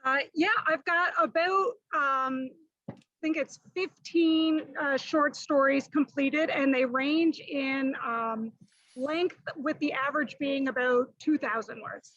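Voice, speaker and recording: A woman's voice: high voice, fairly even intonation, slow, a bit bright, no echo, lo-fi sound. A woman author answering questions about her stories, hesitant and searching for words. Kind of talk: answering questions on a video call.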